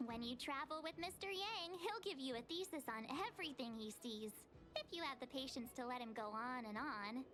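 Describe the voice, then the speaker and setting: A woman's voice: high voice, brisk, fairly bright tone, a little hiss or hum. A woman playing a playful, chatty young female adventurer in a video game, with a dramatic, teasing manner.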